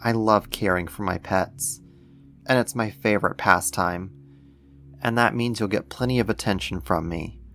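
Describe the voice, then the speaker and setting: A man playing a gentle, doting alien-like being in a soft-spoken ASMR roleplay, coaxing and soothing as if to a pet, tender and a little condescending. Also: fairly low pitch, moderate pace, soft background music.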